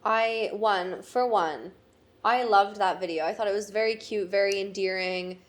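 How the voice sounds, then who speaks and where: A woman's voice: mid-range voice, normal speed. Canadian-born woman on a podcast, joking about herself, kinda rambly. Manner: playful, self-mocking, rambling. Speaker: podcast co-host. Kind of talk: casual chat.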